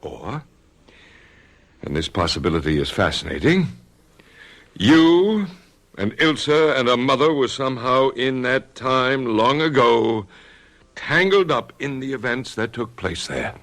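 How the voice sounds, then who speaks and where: A calm, inquisitive doctor in an old radio mystery drama. His speech is measured and reassuring, with a pitch that rises and falls in lively swings. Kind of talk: 1970s radio drama acting.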